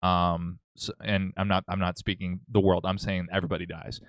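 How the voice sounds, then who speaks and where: A man's voice: deep voice, little pitch movement, fairly quick, no echo, highs cut off. Man in his thirties, podcast guest; matter-of-fact and philosophical, resonant voice, dry sound. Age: 30s. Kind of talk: casual podcast chat.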